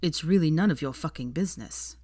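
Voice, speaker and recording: Casting: woman narrator plays cold young man in an audiobook, guarded, terse, low pitch. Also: animated, fairly quick, warm tone, no echo, clean studio sound.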